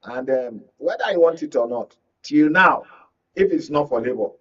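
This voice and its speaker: A man with a Nigerian accent speaking as a media analyst in a live political discussion, phrasing his points deliberately and with emphasis. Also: fairly high pitch, animated, a bit bright, husky, lo-fi sound. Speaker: media analyst, guest pundit.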